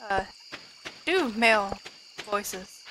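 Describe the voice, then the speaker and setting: A woman with a high voice, chatting and joking about herself while she plays a farming video game. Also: lively intonation, drawn-out words, background noise. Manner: chatty, self-mocking. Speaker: gaming video creator. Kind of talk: casual gameplay commentary. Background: game music.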